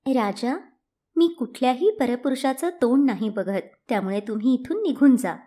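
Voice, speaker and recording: A woman's voice: high voice, moderate pace, dark tone. A woman voicing a pious, resolute young unmarried woman in a storybook tale, earnest and firm. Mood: stern, defiant. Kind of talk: storybook character acting.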